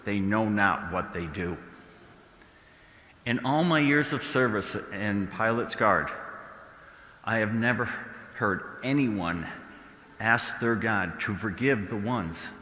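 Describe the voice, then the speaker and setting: Roman soldier played by a man: low, forceful, solemn and awed witness, church drama monologue in a reverberant hall. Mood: solemn reflection. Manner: solemn, deliberate storytelling with pauses.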